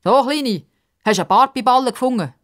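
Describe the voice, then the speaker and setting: A woman's voice: on the high side, animated, brisk, pitched above the performer's own voice, lightly acted. Storybook bully boy, played by a woman: taunting, raised voice, cocky jeers. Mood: mocking. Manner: jeering, taunting. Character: mocking, chubby boy bully. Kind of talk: children's radio story character acting.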